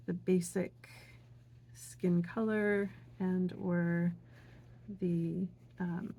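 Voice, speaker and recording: A middle-aged woman gently explaining a traditional folk craft step by step, unhurried, with many fillers and asides. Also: fairly low pitch, a little hiss or hum. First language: English. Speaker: craft teacher. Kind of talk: calm step-by-step craft demonstration.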